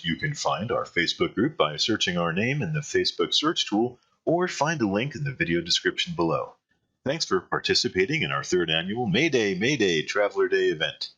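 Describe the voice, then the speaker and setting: A man hosting an interview show, upbeat and asking quick questions. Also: low-pitched, bright, forceful tone, husky. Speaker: podcast host. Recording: dull lo-fi audio.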